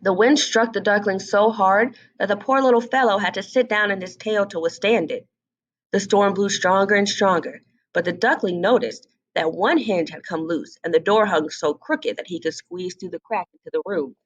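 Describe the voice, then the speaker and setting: A woman reading a fairy tale aloud as an expressive storybook narrator. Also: medium pitch, normal speed, dull audio.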